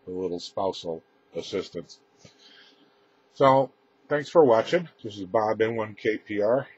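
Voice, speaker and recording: A man giving a casual, unscripted equipment demonstration as presenter, explaining in a halting, matter-of-fact way, recorded indoors on a camera microphone. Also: low-pitched, darkish tone. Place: indoors, small room with radio gear. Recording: dull lo-fi camera audio, clear background noise.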